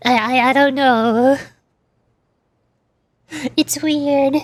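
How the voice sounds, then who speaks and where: A woman's voice: high voice, steady tone, very slow, no echo. A woman streaming in a casual chat style, reacting with exclamations and breaking off her own sentences. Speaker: VTuber streamer. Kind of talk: casual livestream chat with game voice-acting.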